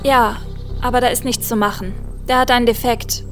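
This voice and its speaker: Actress playing a scaredy young woman in a creepy audio drama, high voice, music behind. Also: steady tone, a little fast, fairly bright tone, close to an everyday voice. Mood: relaxed, chatty. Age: young adult. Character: anxious young woman, easily frightened, stranded at night. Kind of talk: German horror audio drama acting. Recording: music bed under the voice.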